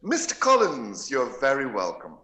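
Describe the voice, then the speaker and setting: A middle-aged English country gentleman for a period radio play. His delivery is dry and teasing, with formal phrasing and lively swings of pitch. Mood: wry amusement. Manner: wry, measured, formal period speech. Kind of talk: live-read radio play of a period novel.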